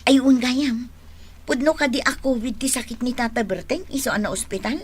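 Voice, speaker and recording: An actress playing a chatty, forthright village neighbour in a radio drama, with a brisk manner and an Ilocano accent. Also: female voice, a little high, slightly rough. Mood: friendly, curious. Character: pushy, chatty village neighbour woman asking favours.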